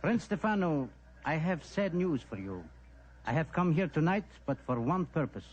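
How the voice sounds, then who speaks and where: An actor playing a solemn, menacing police minister, formal and deliberate, in old-time radio drama on an old recording. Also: male voice, on the high side, sing-song. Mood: grave, menacing. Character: solemn, menacing Balkan police minister, smooth lady killer.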